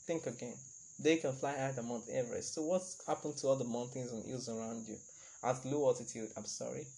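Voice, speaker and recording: A man's voice: mid-range voice, steady tone, fairly quick, creaky, warm tone, a little hiss or hum. A young man hosting a casual solo podcast, chatty and rambling, laughing at his own remarks. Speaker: podcast host.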